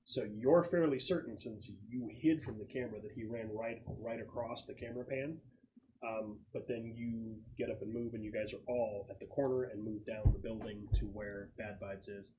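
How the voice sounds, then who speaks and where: Halting male game master, noisy room. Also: on the low side, fairly even intonation, muffled recording. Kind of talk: improvised tabletop game narration and casual chat.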